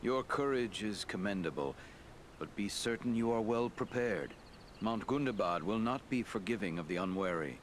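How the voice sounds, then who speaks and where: Man playing a low-voiced wise fantasy lord. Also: lively intonation, slight background noise, close to an everyday voice. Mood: grave, courteous. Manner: measured, formal, grave. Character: wise, courteous male lord counselling young heroes. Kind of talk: dramatic fantasy game acting.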